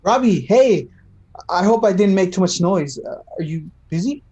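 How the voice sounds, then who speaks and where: A man's voice: sits high, expressive, a little mellow, muffled recording. A man acting in a play reading as an anxious, tender, slightly tipsy man who stammers and hesitates. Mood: nervous. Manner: halting, stammering.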